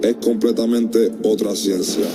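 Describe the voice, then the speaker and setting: A man's voice: lowish voice, steady tone, moderate pace, very noisy. A man narrating a scripted bilingual promo. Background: loud music.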